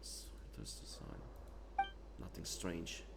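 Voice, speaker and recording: A man giving casual, rambling commentary while playing a game, laughing and clearing his throat often. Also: slow, heavy background noise. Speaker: gaming streamer. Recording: game music behind.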